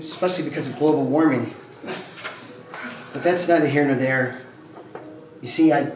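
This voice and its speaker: A man playing a gentle, weary, bereaved father in a theatrical reading, halting and earnest. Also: a little high, close to an everyday voice. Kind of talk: theatrical dramatic stage reading. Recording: echoey room, distant mic, faint noise.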